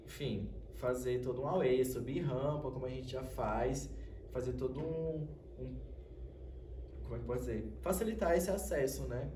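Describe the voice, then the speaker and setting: A young Brazilian man speaking informally as a student on a panel, halting and searching for words, recorded roomy and from a distance. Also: medium pitch, warm tone. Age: young adult. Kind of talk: informal spoken panel presentation. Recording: roomy, distant mic with faint noise.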